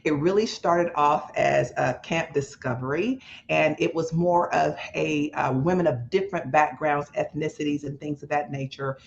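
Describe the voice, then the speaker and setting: A woman's voice: deep voice, normal speed, gravelly, few pauses, muffled recording, a little hiss or hum. A woman playwright speaking unscripted as an interview guest, effusive and devout in the way she talks.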